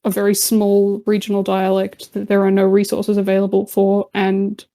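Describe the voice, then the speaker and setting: A woman in a casual group voice chat, talking over a home computer mic. Her voice is warm and mellow, and she rambles a little, with plenty of fillers and restarts. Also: mid-range voice, monotone, moderate pace. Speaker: discord chat participant. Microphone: home computer mic on voice call.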